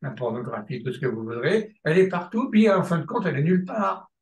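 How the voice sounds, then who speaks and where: A man's voice: on the high side, big pitch swings, fast talker, echoey room. A man teaching a seminar, talking off the cuff, often restarting and stalling on filler sounds, then hammering a point with repetition. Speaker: seminar lecturer in psychoanalysis.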